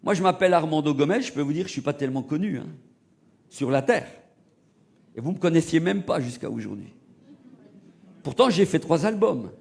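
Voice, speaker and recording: A middle-aged man from Portugal, preaching a sermon and telling his own testimony, rising to loud emphatic peaks and dropping to hushed low asides. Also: expressive, a little fast, creaky, pauses often. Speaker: evangelist preacher.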